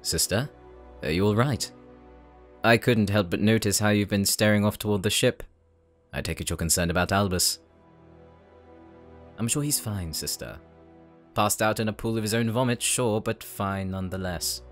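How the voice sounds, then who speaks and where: A man acting as a polite, attentive companion with dry wit in an audio roleplay, speaking in a measured way. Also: on the low side, soft background music, natural voice. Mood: calm, teasing.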